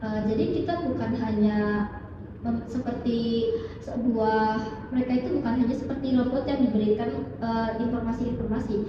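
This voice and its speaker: A woman's voice: fairly high pitch, steady tone, normal speed. Young woman, Javanese accent, hesitant, dull noisy recording, long reverb. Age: young adult. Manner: hesitant, many fillers and restarts. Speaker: student interviewee. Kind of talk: casual interview answers. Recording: distant echoey dull recording with noise.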